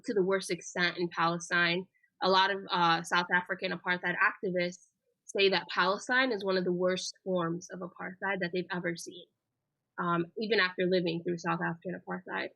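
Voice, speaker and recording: Bright earnest young woman guest. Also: lowish voice, no echo, rough recording. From United States. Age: 20s. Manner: earnest, many ums and uhs. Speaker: interview guest, activist. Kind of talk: casual spoken interview.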